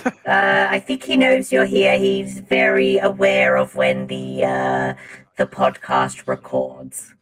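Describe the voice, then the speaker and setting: A performer voicing a chatty, mildly vain robot woman with a drifting British accent, halting and polite in an improvised podcast bit. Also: rumbling low, monotone, crowd noise. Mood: polite, matter-of-fact.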